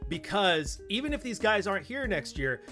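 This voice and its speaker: A man's voice: high voice, big pitch swings, moderate pace, sharp tone, soft background music. A man in a casual sports podcast discussion, emphatic and opinionated, repeating points for stress. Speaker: podcast co-host and basketball analyst.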